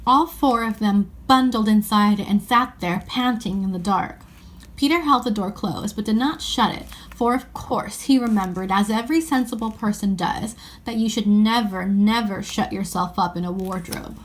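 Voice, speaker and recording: A woman's voice: mid-range voice, moderate pace. A woman narrating a children's story as an audiobook reading.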